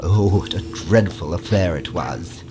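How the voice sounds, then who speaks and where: A man's voice: low voice, measured pace, noisy background. A man playing an eccentric, secretive alien scientist in an audio drama, with a fussy, theatrical, conspiratorial way of talking. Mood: dramatic. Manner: theatrical, fussy, scolding, dropping into conspiratorial hushes. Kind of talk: audio drama character acting.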